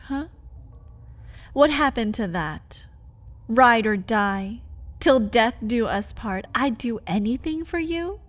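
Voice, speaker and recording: Caged vampire lover , played by a woman: unhurried, husky-breathy, intimate ASMR delivery, pleading with a bitter edge. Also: on the high side. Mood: bitter, taunting. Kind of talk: ASMR roleplay.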